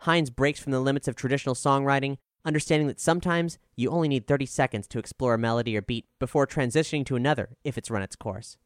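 A man reads a written music review as narrator. His voice is smooth and clean, recorded very dry and close, and his delivery is measured and articulate, with a formal turn of phrase. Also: medium pitch, dry recording. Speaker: narrator reading an album review.

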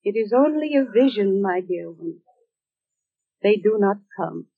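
An actress playing a prisoner's devoted wife as an imagined voice, soothing and solemn in old radio drama style. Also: female voice, medium pitch, expressive, unhurried, creaky, husky, full character voice. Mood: sorrowful. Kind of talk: 1940s radio drama acting. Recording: dull vintage radio drama recording.

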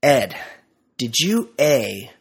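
A man's voice: medium pitch, big pitch swings, very slow, vocal fry, breathy edge. A middle-aged man hosting a casual comedic podcast, nasal and stuffy from a cold, teasing in a mock-formal way. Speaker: podcast host.

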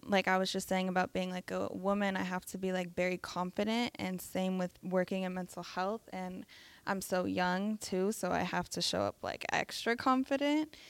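A woman's voice: medium pitch, clear, resonant tone, no room sound. A young woman who stutters, sharing her experience at a live talk with frequent fillers and hesitations. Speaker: audience member sharing her story.

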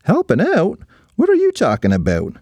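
A man voicing a lazy, carefree young boy who brags in a relaxed way. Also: a little high, normal speed, dry recording, voice pushed up, full character voice. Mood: laid-back. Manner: laid-back, boastful.

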